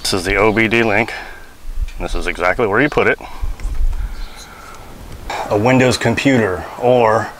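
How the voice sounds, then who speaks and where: A man's voice: lowish voice, unhurried, long pauses, a bit bright, a little hiss or hum. A man in his thirties giving an informal, step-by-step tech tutorial as the host. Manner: informal, instructional.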